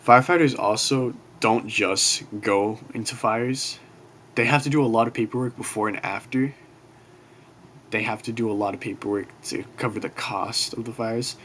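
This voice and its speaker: A young man giving a casual class presentation from his bedroom, talking quietly and apologizing as he rambles a bit. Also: fairly low pitch, normal speed. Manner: quiet, self-conscious, apologetic, loose and rambling. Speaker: student presenting a class project. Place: bedroom in an apartment next to a street. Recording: faint street noise.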